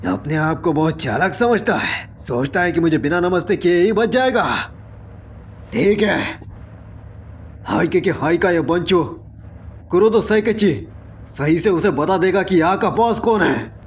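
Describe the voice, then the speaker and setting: A man's voice: high voice, animated, a little slow, a little hiss or hum. A man voicing a cocky, menacing school gang boss in a Hindi anime fan dub, boastful and threatening.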